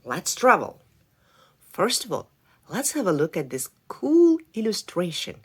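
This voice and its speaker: A woman reading a folk tale aloud for children, talking in an animated, expressive way. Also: fairly low pitch, a little slow, breathy edge. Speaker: storyteller.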